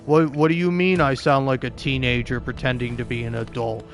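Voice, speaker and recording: A man's voice: mid-range voice, little pitch movement, brisk, close to an everyday voice. A man mocking a fake-macho young guy. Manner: boastful, mocking. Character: mocked young man faking a deep macho voice.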